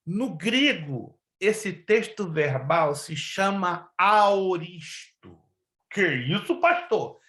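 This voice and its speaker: A man's voice: a little high, a bit bright, reverberant. A man, a pastor raised in Brazil with Hebrew and Spanish as first languages, teaching a Bible class and often drawing out key words and asking the class questions. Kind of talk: online Bible class lecture.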